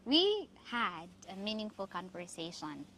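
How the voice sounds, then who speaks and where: A woman from the Philippines with a Filipino accent and a Cebuano mother tongue, hosting reflective, motivational talk with emphatic, deliberate phrasing. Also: mid-range voice, sing-song, bright, forceful tone, a little hiss or hum, low-quality recording. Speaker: podcast host.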